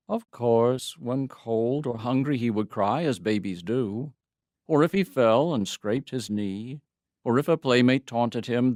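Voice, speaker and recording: A man performing a dramatic poem as a troubled, devoted father, telling his story in a solemn, measured, deliberate way. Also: medium pitch, a little mellow, dry recording, studio quality. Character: Saint Joseph, troubled, devoted stepfather recalling his lost boy. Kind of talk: dramatic poetry reading, first-person monologue.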